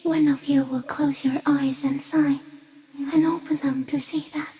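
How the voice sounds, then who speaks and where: A woman voicing a giant stick insect in a video game, gentle, solemn and cryptic, speaking in slow, measured phrases. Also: high-pitched, level tone, gravelly, a little hiss or hum. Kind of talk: video game character acting.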